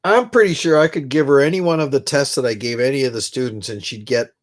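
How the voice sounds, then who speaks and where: A middle-aged man with a stuffed-up, congested voice talks loosely to his live-stream viewers from his room. He rambles from one thought to the next and greets people by name as he goes. His pitch sits in an ordinary middle range. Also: fast. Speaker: livestream host. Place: his own room at home.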